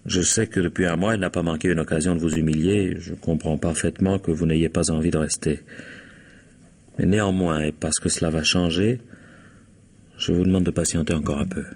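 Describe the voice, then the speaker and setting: An actor playing a courteous, quietly scheming bourgeois husband and employer in a French radio drama, formal and suave. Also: male voice, low voice, steady tone, fairly quick. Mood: confiding, resolute.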